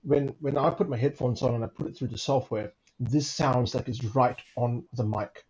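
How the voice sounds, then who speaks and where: Man recounting an experience in halting repeats. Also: mid-range voice, a little mellow, highs cut off. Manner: repeats words, emphatic tag questions. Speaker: guest recounting his own recording. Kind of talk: casual conversational storytelling.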